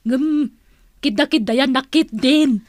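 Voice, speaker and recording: A high-voiced, flirtatious auntie. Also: female voice, a little slow, no room sound, clean studio sound. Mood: huffy, smitten. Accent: Ilocano. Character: playful, vain, flirtatious auntie putting on airs. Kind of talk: radio comedy drama acting.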